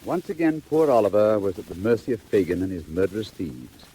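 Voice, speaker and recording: An actor as the narrator of a 1940s audio drama, formal, grave and theatrical. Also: male voice, on the low side, very animated, a little fast. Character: grave, dramatic storyteller of a classic tale. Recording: old 1940s record with faint noise.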